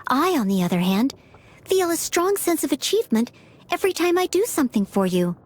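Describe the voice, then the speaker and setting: A woman's voice: high voice, very animated, a little fast. A woman voicing a polite, self-effacing young maid and aspiring knight in a video game, eager to please. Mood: polite, earnest. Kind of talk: video game character voice lines.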